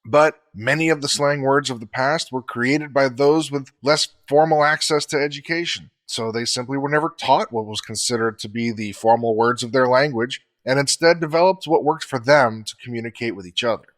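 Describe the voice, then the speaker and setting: Guy reading out fun facts, steady scripted explainer, middle pitch. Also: normal speed, hardly any pauses. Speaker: podcast co-host explaining facts.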